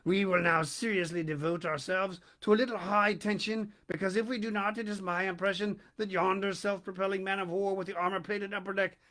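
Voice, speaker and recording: A man voicing a pompous, wordy python snake who speaks in a deliberate, ornate way. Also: high voice, steady tone, a bit bright.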